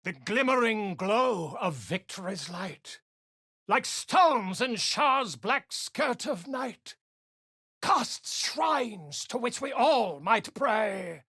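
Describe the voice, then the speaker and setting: A pompous theatrical bard. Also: male voice, high-pitched, expressive, extremely slow, sharp tone, breathy edge, dry recording, studio quality, full character voice. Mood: declaiming. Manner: flowery, pompous, declamatory. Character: eccentric male bard-scholar, pompous and theatrical. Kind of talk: video game voice acting.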